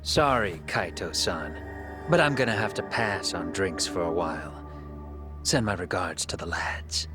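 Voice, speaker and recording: A man's voice: low voice, a little slow, over music. An actor playing a weary, reluctant handyman in a video game, slangy and resigned. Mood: resigned, apologetic. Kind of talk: English video game voice acting.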